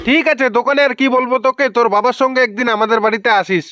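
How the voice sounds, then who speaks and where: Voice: medium pitch, very fast, close to an everyday voice. A performer voicing a chatty, knowing middle-aged male shopkeeper in a comic cartoon, talking familiarly and handing out advice over music. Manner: familiar, talkative, advice-giving.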